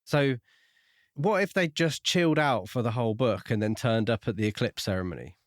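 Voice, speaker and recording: A man's voice: medium pitch, moderate pace, no echo, studio quality. A man co-hosting a podcast, talking casually about a book in a questioning, wryly critical way. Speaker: podcast co-host.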